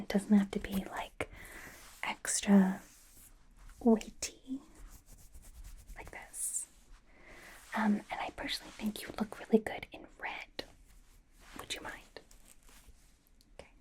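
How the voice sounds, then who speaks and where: A woman in an ASMR roleplay playing a doting, bossy young pop idol turned fashion stylist, in a soft breathy whisper with a playful, halting delivery. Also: mid-range voice, natural voice. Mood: smitten, encouraging. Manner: playful, gushing, gently insistent, halting. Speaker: ASMR performer. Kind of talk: ASMR roleplay, personal attention.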